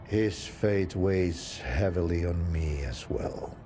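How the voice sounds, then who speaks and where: An actor playing a weary, regretful scientist in a video game, speaking in a resigned way. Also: male voice, low voice, warm tone, faint music behind. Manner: slow, resigned, sighing. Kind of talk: video game dialogue acting.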